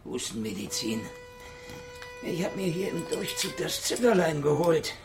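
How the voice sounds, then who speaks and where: A gravelly, cynical noir detective. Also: male voice, a little high, lively intonation, a little slow, over loud music. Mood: wry, defiant. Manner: sardonic, laconic. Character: cynical down-at-heel Moscow private detective, hard-boiled, wry. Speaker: lead actor and first-person narrator. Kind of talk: noir radio drama acting and narration.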